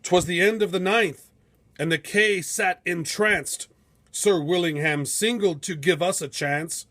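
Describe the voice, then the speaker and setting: A man reading a rhyming storybook aloud in a theatrical, measured, epic storytelling manner. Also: high-pitched, fairly bright tone. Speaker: storybook reader. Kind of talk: dramatic rhyming storybook narration.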